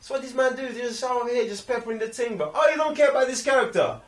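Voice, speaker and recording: A young man with a London accent talks through a film in loose, slangy chat. His voice sits high and jumps around freely, picked up a little far from the mic in an echoey room. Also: quick pace. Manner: animated, slangy. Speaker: film review show host. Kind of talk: casual movie review chat. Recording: roomy, distant microphone.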